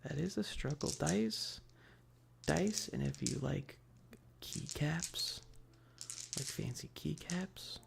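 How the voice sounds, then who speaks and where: A soft-spoken, partly whispering ASMR streamer chatting casually and playfully with viewers into a close microphone, often repeating phrases. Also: medium pitch, slow pace, vocal fry, pauses often, noisy background. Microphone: close adjustable microphone.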